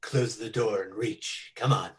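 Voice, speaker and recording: A big, menacing tough guy from a radio detective play , acted by a man. His voice is on the low side. He speaks in clipped, slangy threats, sure of himself. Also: fairly even intonation, a little slow, fairly bright tone. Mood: menacing, cocky. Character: cocky, menacing big male gunman. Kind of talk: 1940s radio detective drama.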